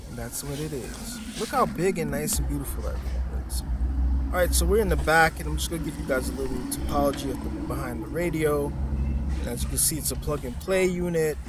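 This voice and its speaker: A man's voice: fairly high pitch, noisy background. A man giving a casual, hands-on installation tutorial in an informal, slangy, playful way. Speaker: car audio installer demonstrating a product. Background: music from a car stereo.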